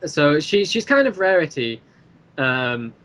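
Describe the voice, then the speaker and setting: Male podcast guest, slightly high voice, halting and rambling, explains his creative work in a loose conversational way. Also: big pitch swings, a bit bright. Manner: rambling, halting, repeats phrases as ideas form. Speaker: guest, creator of an audio drama series. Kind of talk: casual podcast chat, explaining own work.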